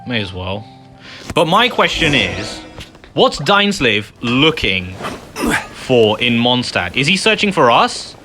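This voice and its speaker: A man's voice: medium pitch, sing-song, slow. A man giving casual, self-deprecating commentary as he plays through a video game. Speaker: gamer, channel host. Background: game music.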